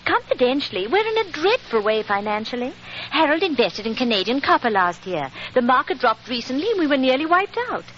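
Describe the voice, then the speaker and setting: An actress playing an anxious, genteel young British aristocrat, earnest and confiding, in 1940s radio drama style. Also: female voice, high-pitched, sing-song, a little fast, fairly bright tone. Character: anxious, emotional young aristocratic English lady. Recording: old radio recording, muffled, faint hiss.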